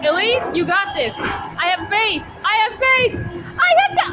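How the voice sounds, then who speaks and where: Casting: woman, very high voice, excitable casual game-side coaching, loud arcade crowd around her. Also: measured pace. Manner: excitable, coaching friends along. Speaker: vlogger. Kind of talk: casual chat while playing arcade games. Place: busy arcade. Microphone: handheld phone. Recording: loud music and crowd noise behind. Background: loud music, crowd, arcade bustle.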